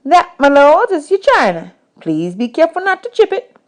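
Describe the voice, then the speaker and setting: Woman acting a high-voiced motherly camp cook. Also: huge pitch swings, normal speed. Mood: teasing, welcoming. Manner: motherly, folksy, reassuring. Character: kindly, teasing woman serving stew in hobo camp.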